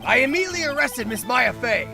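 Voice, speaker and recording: A man's voice: very high-pitched, lively intonation, quick pace, creaky, exaggerated voice. A man playing an eager, blustery police detective in an amateur courtroom game dub, deferential and easily flustered, with music behind him. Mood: earnest report. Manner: barking, deferential, stammering when challenged.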